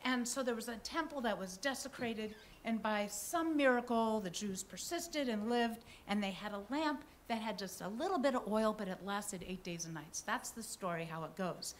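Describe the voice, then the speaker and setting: A woman's voice: medium pitch, animated, moderate pace, hardly any pauses, slight background noise. An older woman on a theater stage, chatting through an introduction to a song in a musing, slightly nervous way at a stand mic. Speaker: musician and storyteller. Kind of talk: casual spoken introduction on stage. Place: theater stage with live audience.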